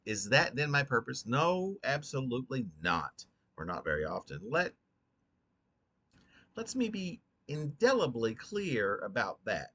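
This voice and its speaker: A man reading his own poem aloud in an earnest, rhetorical, dramatic recitation with a halting delivery. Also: medium pitch, huge pitch swings, pushed and bright.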